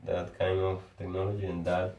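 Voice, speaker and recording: A Venezuelan man, a Spanish speaker and engineer, in casual conversation, talking haltingly and often restarting words as he searches for his phrasing. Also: deep voice, monotone, warm tone, a little hiss or hum. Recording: echoey room, far from the mic.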